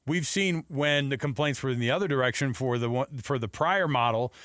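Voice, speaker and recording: A man's voice: mid-range voice, a little fast, fairly bright tone, no echo, clean studio sound. A man hosting a sports radio show, chatting casually and putting questions to a guest.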